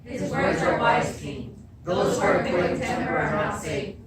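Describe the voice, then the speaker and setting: A woman's voice: lowish voice, animated, a little slow. A young woman acting a cautious, peacemaking chorus of citizens in stage readers' theatre, with a declamatory delivery. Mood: conciliatory. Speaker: drama student. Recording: roomy, distant mic, loud crowd noise.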